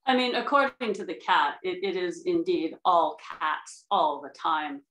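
A woman's voice: fairly low pitch, measured pace, fairly bright tone, a little hiss or hum. A woman presenting a research paper over a video call from a room at home. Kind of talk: dramatic reading of a scientific paper, then answering questions. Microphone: video call microphone. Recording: roomy, echoing video call audio.

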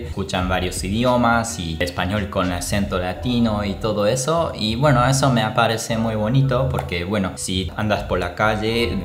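A young man from Japan with a Japanese accent, speaking non-native Spanish in a casual, expressive vlog style to camera. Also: fairly low pitch. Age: young adult. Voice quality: non-native Spanish with small grammar slips. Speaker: vlogger. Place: small plain indoor room. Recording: roomy echo. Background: music.

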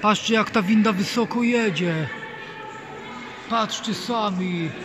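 High-voiced young man, noisy phone recording. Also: slow pace. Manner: addresses viewers, enthusiastic about lifts. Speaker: lift enthusiast vlogger. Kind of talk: casual handheld video commentary. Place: indoor lifts and lift lobbies. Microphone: handheld phone. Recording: noisy handheld phone in lift areas.

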